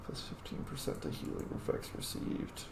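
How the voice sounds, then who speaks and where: A man giving casual, playful commentary on a game and chatting to its characters as he plays. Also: normal speed. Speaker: gamer narrating a playthrough. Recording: echoey room, background noise.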